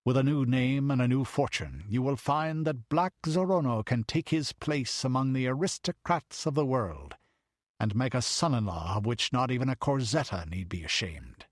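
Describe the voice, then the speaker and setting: A man's voice: mid-range voice, animated, normal speed, dry recording, clean studio sound, natural voice. A man as a growling, ruthless buccaneer. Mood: menacing. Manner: growling, blunt. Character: ruthless blunt buccaneer captain, menacing man.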